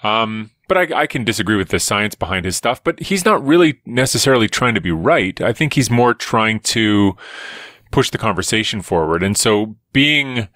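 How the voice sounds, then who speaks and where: A man who is a scientist guest on a podcast, talking in animated, rambling runs and restarting his sentences often. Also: fairly low pitch, moderate pace, no room sound, clean studio sound. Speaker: guest, evolutionary biologist. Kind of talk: casual conversation.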